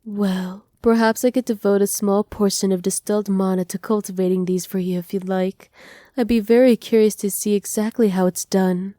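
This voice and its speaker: A woman playing a doting, possessive witch in an ASMR roleplay, speaking softly with teasing, condescending affection. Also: mid-range voice, fairly even intonation, moderate pace, no room sound, clean studio sound, natural voice. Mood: soft, coaxing. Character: doting, possessive witch mistress pampering her captive pet. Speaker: voice actor.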